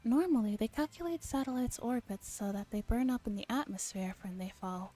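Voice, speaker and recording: A woman's voice: a little high, a little fast, dark tone. A woman streamer playfully reading a visual novel aloud and acting out the dialogue, in an ASMR stream. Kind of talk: ASMR game stream, read-aloud and chat. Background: game music.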